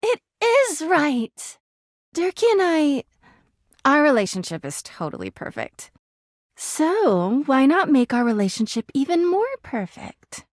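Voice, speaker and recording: High, clingy, melodramatic young-sounding girlfriend. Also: huge pitch swings, slow pace, dry recording, clean studio sound, heavily acted. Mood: gushing. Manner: dramatic, whiny, emotionally volatile. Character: clingy, jealous, melodramatic female living household object, toxic girlfriend. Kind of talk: video game character voice acting.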